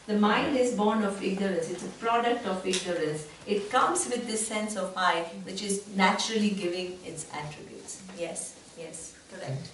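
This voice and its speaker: A woman's voice: mid-range voice, normal speed, few pauses, slight background noise. Woman teaching in an echoey room. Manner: explanatory, poses rhetorical questions. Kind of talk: classroom teaching with discussion. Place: retreat seminar room. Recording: echoey room, distant mic.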